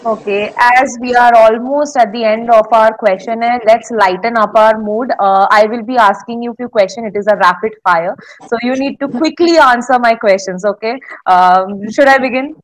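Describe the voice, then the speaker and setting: A woman's voice: fairly high pitch, moderate pace, rough recording. A young woman interviewing a guest over a video call from a small room at home, asking questions in a polite, formal way. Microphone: laptop webcam on video call.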